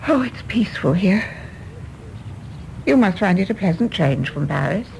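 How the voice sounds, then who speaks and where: A woman playing a composed, wistful and candid English widow in a radio drama, with an English accent and a measured, genteel manner. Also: medium pitch, animated, gravelly, a little mellow, a little hiss or hum, low-quality recording. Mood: candid, curious. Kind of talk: British stage-play radio drama acting.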